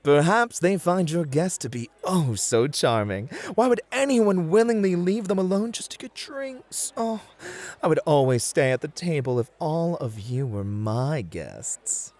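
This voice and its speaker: Man acting a lilting, flirty gentleman club host. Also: a little high, sing-song, a little slow. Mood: teasing.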